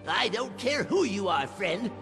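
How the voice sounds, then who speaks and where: A man's voice: high-pitched, big pitch swings, unhurried, creaky, in a large hall, music in the background, heavily acted. A man voicing a pompous, boastful little wizard with a big ego in a video game. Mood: indignant. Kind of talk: video game character acting.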